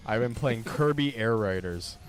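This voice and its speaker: A man streaming a game, chatting in a relaxed, playful way and laughing easily. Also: fairly low pitch, normal speed, music in the background. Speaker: streamer, host. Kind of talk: casual chat while streaming a game.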